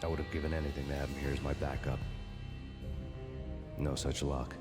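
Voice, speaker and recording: An actor playing a weary, bitter cop in a video game, narrating in a sardonic, brooding, hardboiled noir style. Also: male voice, very deep voice, a little fast. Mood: brooding, deadpan. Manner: deadpan, brooding. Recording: loud music underneath.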